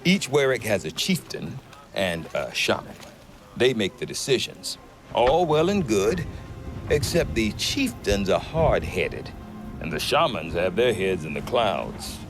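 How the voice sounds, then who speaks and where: An actor playing a gruff middle-aged trader who talks with dry humor. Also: male voice, on the low side, a little slow. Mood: wry. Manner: wry, dry humor, lively delivery. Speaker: merchant trader. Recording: game cutscene with music behind.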